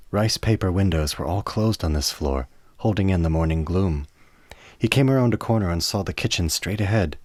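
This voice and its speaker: A man narrating a radio play in a measured storytelling manner. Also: sits low, darkish tone, dry recording.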